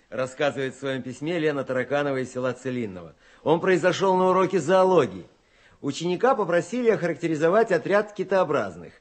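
A man presenting on a children's radio show, reading scripted comic anecdotes. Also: a little high.

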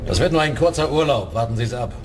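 An actor playing a stiff, indignant military major in a 1980s radio drama. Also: male voice, mid-range voice, animated, fast talker, lightly acted. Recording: noisy radio play scene.

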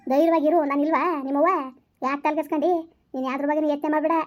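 A woman's voice: high voice, steady tone, rapid-fire, darkish tone, dull audio, close to an everyday voice. A woman voicing a scheming rural mother who scolds and reassures her daughter while plotting revenge. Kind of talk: village family drama acting.

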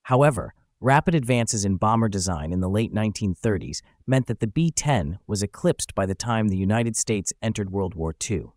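A man narrating a scripted aviation history video in an even, factual reading. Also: on the low side, no echo, studio quality.

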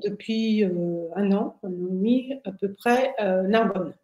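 A woman of sixty-six gives a personal account in conversation, often halting to find her words. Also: medium pitch, fairly even intonation, dark tone, muffled recording. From Paris, France. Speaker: interview guest, retiree. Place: indoors, plain room on video call. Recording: roomy, echoing video call.

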